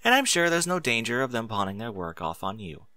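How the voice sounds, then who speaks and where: A man voicing a female pony schoolteacher, concerned and gently stern, with proper, admonishing speech. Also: medium pitch, big pitch swings, fairly quick, no echo, clean studio sound. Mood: measured. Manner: proper, admonishing, careful diction.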